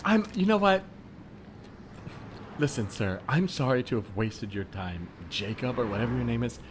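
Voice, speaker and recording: A man's voice: mid-range voice, animated, moderate pace, close to an everyday voice. A man playing a fed-up girlfriend in improvised comedy, speaking in a blunt, weary, incredulous way. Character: exasperated, fed-up girlfriend waiting on commitment. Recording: faint outdoor ambience noise. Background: added faint city soundscape.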